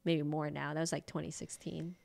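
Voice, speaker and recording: A woman's voice: low voice, little pitch movement, fairly quick, gravelly, a little mellow. A woman, co-hosting a podcast and chatting casually about her path into acupuncture, with lots of fillers and laughs. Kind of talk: casual chat.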